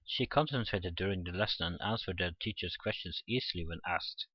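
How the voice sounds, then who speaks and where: A man's voice: low-pitched, a little fast, breathy edge, no room sound, lo-fi sound. A man reading a visual novel aloud for a let's play, informally, stumbling over the text and adding his own reactions. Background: visual novel game music.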